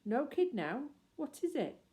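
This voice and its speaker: Woman acting a slangy, excitable fairground stallholder. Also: high voice, measured pace, voice pushed up. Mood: sceptical, warning. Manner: colloquial, slangy, wheedling.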